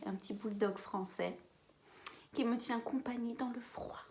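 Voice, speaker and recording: A woman in her twenties from French Guiana, chatting casually to camera as a beauty vlogger, with quiet whispered asides. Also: mid-range voice. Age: 20s. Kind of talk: casual vlog chat to camera.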